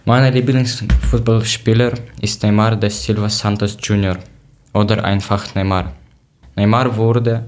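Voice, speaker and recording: Ein Mann aus der Ukraine mit Deutsch als Fremdsprache liest als Co-Moderator kurze, vorbereitete Sätze gemessen vor. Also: male voice, on the low side, a little mellow. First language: not German. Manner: plain, measured reading with short sentences. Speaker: podcast co-host. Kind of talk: reading prepared talk in learner German.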